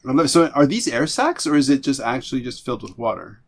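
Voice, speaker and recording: A man hosting a podcast interview, effusive and excitable, who stammers and rambles as he asks his questions. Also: mid-range voice, quick pace, creaky, breathy edge. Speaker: podcast host. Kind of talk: casual interview chat.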